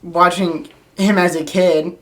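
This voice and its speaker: Lowish-voiced woman chatting casually. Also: steady tone, slow, poor audio. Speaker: wrestling podcast co-host. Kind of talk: casual chat.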